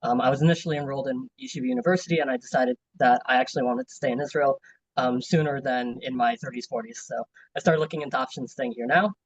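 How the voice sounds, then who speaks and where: A man's voice: medium pitch, steady tone, quick pace, dry recording, far from the mic, dull audio. A man in his twenties from New Jersey, introducing himself as a student panelist in a casual way with many fillers, over a video call. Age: 20s.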